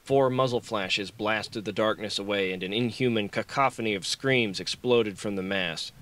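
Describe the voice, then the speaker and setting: Forceful male audiobook narrator, fairly low. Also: moderate pace. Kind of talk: dramatic scripted narration of action fiction.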